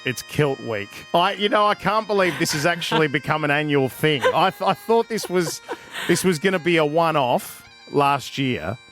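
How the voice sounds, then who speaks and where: A man's voice: a little high, expressive, moderate pace, a bit bright, faint music behind. An Australian man co-hosting a breakfast radio show from a studio, playful, laughing easily and starting with hesitant fillers. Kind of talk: casual breakfast radio banter.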